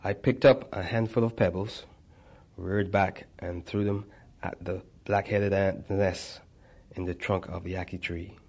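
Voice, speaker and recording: A man reading a personal memoir aloud, measured, with small stumbles and restarts. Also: low voice, fairly even intonation, a little mellow, breathy edge. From Barbados. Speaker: narrator.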